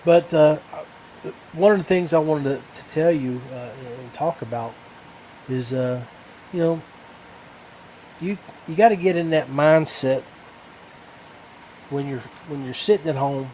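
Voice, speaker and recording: An American man hosting a podcast in a casual, rambling way, halting as he searches for words. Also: on the high side, a little mellow, slight background noise, rough recording. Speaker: podcast host.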